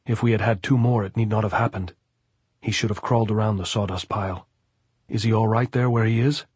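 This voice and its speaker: A man's voice: lowish voice, steady tone, fairly quick, darkish tone, husky, no room sound. A man voicing a fierce, blunt middle-aged guerrilla woman who scolds and commands in old-fashioned phrasing in an audiobook. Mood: commanding.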